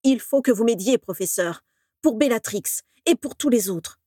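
A woman's voice: mid-range voice, expressive, normal speed, darkish tone, no room sound, studio quality, natural voice. A woman voicing a determined young male wizard in a storybook, speaking firmly and insistently. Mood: pleading, firm. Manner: firm, insistent. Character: determined, urgent young man, former pupil wizard.

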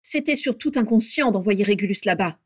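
A woman's voice: medium pitch, animated, fairly quick, a little mellow, no echo, clean studio sound, lightly acted. A woman voicing a sensible, protective young woman in a storybook, reproving and practical. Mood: reproachful.

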